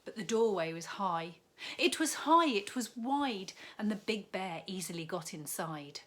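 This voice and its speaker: A woman's voice: medium pitch, expressive, unhurried, a bit bright. A woman, a primary teacher reading a story expressively to young children, with chatty asides.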